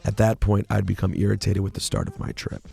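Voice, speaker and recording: A man's voice: lowish voice, little pitch movement, fairly quick, vocal fry, dark tone, breathy edge, no room sound, full character voice. A man voicing a sultry, overdramatic woman narrating a memoir of her affair, in a theatrical reading. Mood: suspenseful. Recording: music playing behind the voice.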